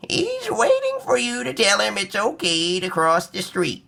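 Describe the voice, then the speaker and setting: A kindly storybook grandmother, played by a man, cheerful and encouraging. The voice is high and bright, with big swoops. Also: wildly swooping pitch, measured pace, creaky, exaggerated voice.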